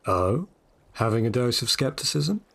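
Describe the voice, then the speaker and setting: Calm persuasive city guide in a scifi audio drama, reassuring, kinda breathy rough voice. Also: male voice, mid-range voice, moderate pace, full character voice. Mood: calm, assured. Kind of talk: full-cast audio drama acting.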